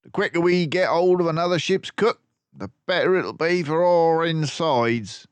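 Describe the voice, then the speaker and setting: A man's voice: on the high side, normal speed, smooth, no room sound, pitched above the performer's own voice, lightly acted. A man voicing a hulking, bossy sailor mouse thug in a storybook, blunt and coarse. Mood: grumbling.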